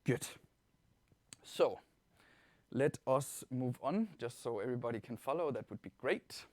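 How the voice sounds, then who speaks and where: A man with a Danish accent giving an informal software tutorial as a webinar presenter, explaining things step by step in a reassuring way. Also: medium pitch, expressive, a little slow, dry recording. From Denmark. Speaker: webinar presenter, product trainer.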